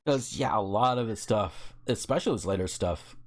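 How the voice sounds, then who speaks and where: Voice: mid-range voice, normal speed. An American speaker in casual, opinionated political chat, speaking emphatically and swearing freely. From United States. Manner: emphatic, profane, outspoken. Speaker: podcast co-host.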